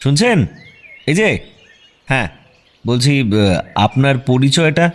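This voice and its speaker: An actor playing a self-assured detective in a radio drama, questioning people in a brisk, direct way. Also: male voice, mid-range voice, big pitch swings. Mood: inquisitive. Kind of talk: radio audio drama acting.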